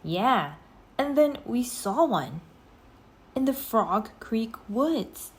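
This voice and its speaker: A worried young boy from a children's storybook, as a woman reader puts him on. He is cautious and sceptical, with a hesitant, disbelieving manner and lively pitch. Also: very slow, heavily acted. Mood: bewildered.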